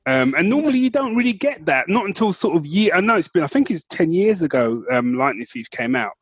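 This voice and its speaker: A man's voice: a little high, big pitch swings, a little fast, breathy edge, dry recording. A man co-hosting a podcast, chatting casually and informally, repeating himself for emphasis. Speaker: podcast co-host. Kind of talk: casual chat about film and book news.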